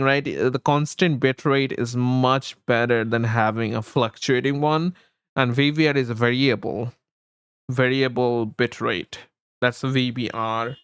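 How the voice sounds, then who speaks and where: Guy chatting on stream, slow n halting, repeats himself, close dry mic. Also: mid-range voice, clear, resonant tone, dry recording. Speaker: live streamer and host. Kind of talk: casual livestream chat with viewers. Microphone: close streaming microphone.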